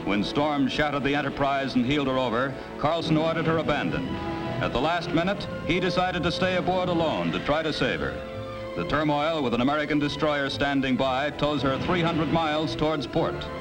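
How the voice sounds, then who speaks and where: A man's voice: a little high, moderate pace, over music, poor audio. A man reading a scripted, dramatic 1950s newsreel narration on a vintage soundtrack.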